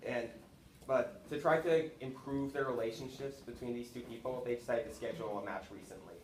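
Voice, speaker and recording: A man's voice: medium pitch, fairly quick. Earnest teenage boy giving a speech. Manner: earnest, steady, with small restarts. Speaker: student speaker. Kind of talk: prepared speech to classmates. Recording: echoey room with faint noise.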